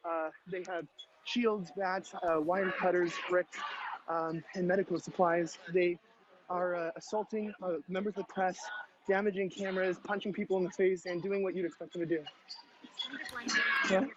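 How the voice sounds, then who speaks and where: A man reports live from the street in a guarded, urgent and fairly quiet delivery. His voice is high and quite flat in pitch. The streamed feed is noisy and clicky. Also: normal speed, muffled recording. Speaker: field reporter. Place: outdoors on a street.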